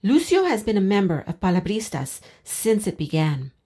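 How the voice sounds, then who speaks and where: A woman's voice: mid-range voice, very animated, moderate pace, warm tone, breathy edge. A woman radio reporter reading a scripted news narration.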